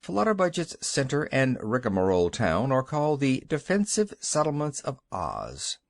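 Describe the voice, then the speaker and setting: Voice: medium pitch, huge pitch swings, normal speed, no room sound. A reader voicing an agreeable male companion who adds information. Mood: informative. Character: helpful male traveling companion.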